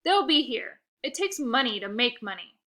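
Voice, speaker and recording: An eager, scheming kid from a storybook, played by a woman reader. The voice jumps up high and bright, exclaiming and insisting like a pushy little salesperson. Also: sing-song, moderate pace. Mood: insistent. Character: eager, scheming kid hosting a dog party.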